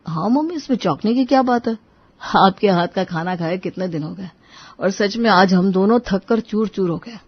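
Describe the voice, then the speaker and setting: A woman's voice: medium pitch, normal speed, slightly rough, no echo, dull audio. A woman voicing a practical young husband and son in a cartoon story, explaining and placating. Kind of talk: Hindi moral-story cartoon dubbing.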